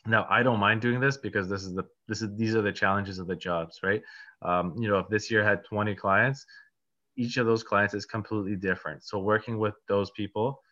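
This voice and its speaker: A man's voice: fairly low pitch, steady tone, brisk, sharp tone. A Polish-born man in his thirties, a real estate agent and podcast host, answering interview questions in a rambling, casual way with many fillers and restarts. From Poland. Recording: dull lo-fi audio.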